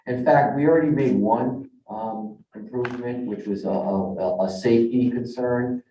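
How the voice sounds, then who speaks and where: A man presenting a project to a zoning board in a halting, informal way with many fillers. He is tired and losing his voice. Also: medium pitch, mellow, a little hiss or hum. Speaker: developer's representative presenting a project. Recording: dull, echoey council chamber audio, mic at a distance.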